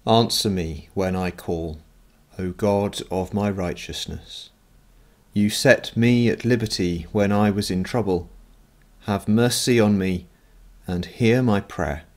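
A man leading night prayer as a priest, reading scripture and prayers in a measured, solemn way, phrase by phrase. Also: lowish voice.

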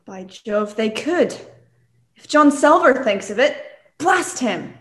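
A woman's voice: fairly high pitch, expressive, slow pace. A young woman playing a blustery, good-hearted squire in an amateur radio play. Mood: blustering.

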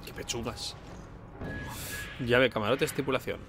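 A man's voice: medium pitch, steady tone, a little slow, over music. A man with an accent from Spain gives casual gameplay commentary, informal and sweary, often muttering to himself. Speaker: let's play host.

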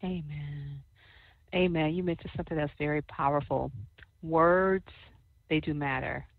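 Soft-voiced low woman on a phone line. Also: steady tone, measured pace, gravelly, no room sound. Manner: warm, affirming, church talk. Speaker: co-host, interviewer. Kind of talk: faith-based interview.

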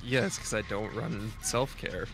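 A man's voice: fairly low pitch, unhurried, gravelly, rough recording. A man chatting casually with others while playing a game. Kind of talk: casual gaming chat.

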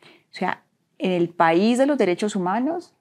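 A woman's voice: medium pitch, animated, unhurried, darkish tone. A young woman with a Colombian Spanish accent recounts her own experience in an interview. She talks earnestly, stumbles and repeats words, and drops almost to a whisper when things get heavy. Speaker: interview guest. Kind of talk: emotional personal storytelling.

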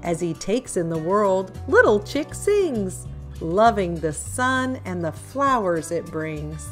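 Female picture book narrator, unhurried and lively, expressive delivery for little kids, light music underneath. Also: mid-range voice. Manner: expressive, deliberate storytelling for young children. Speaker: children's storybook reader. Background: background music.

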